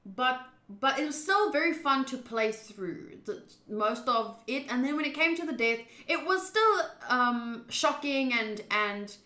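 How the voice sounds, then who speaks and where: A woman chatting casually on a livestream about a visual novel, expressive and thinking aloud as she goes. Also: high-pitched, reverberant. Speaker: streamer playing a visual novel.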